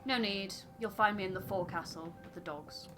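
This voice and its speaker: A proud dog breeder in a narrative game, acted out by a woman. She speaks formally and bluntly in a medium-pitched voice, exacting and haughty. Music is clearly audible behind her. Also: a little fast, natural voice. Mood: firm, cool.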